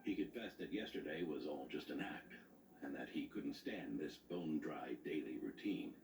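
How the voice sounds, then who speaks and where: An actor playing a seasoned, genial knight in a video game, speaking in formal, dutiful phrases. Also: male voice, extremely low pitch, animated, moderate pace, a little mellow. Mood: concerned. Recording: roomy distant game audio with noise.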